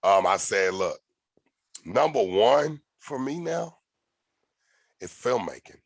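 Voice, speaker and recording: A man with a low voice hosts a casual podcast chat. He is recorded close and dry, with no room sound, and he tells stories with lively energy, plenty of slang and swearing. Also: slow, vocal fry, husky, no room sound. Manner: animated, profane storytelling with slang. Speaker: podcast host.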